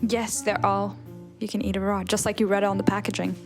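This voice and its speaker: A woman's voice: mid-range voice, level tone, a little fast, music in the background. A woman hosting a tasting show in a studio, prompting her guests with friendly questions. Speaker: host. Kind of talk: casual hosting, asking questions.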